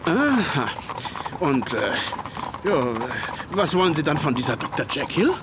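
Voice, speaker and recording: Earnest Victorian doctor, high voice, very varied intonation, formal and deferential, radio play, clear horse-and-street ambience. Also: male voice, sing-song, measured pace, heavy background noise. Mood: inquiring. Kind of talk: German radio play dialogue and narration.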